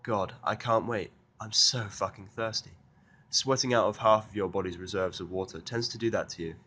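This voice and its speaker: A man's voice: fairly low pitch, normal speed, bright, forceful tone, breathy edge. A man narrating a first-person fan fiction audio drama in a sardonic manner.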